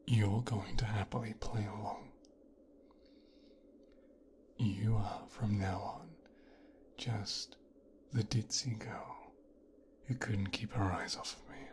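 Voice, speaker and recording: A man playing a possessive, coldly calculating young mafia boss's son in a hushed, breathy ASMR roleplay, speaking slowly and deliberately. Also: lowish voice, slight background noise. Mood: scheming, murmuring. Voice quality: hushed, breathy, half-whispered. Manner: slow, deliberate, musing with pauses.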